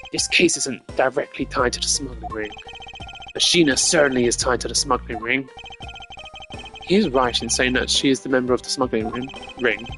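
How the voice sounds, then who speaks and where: A man voicing a composed, analytical prosecutor in a video game, speaking in a measured, formal way. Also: mid-range voice, bright, forceful tone, soft background music. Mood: measured, reasoning.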